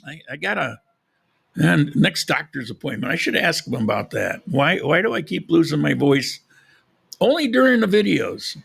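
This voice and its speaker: Guy hosting a live podcast, gravelly and kinda hoarse, rambles on, slightly high voice. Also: very animated, moderate pace, fairly bright tone. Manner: rambling, conversational, frequent restarts. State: losing his voice. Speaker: podcast host. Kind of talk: casual live podcast chat. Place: lives in Colombia.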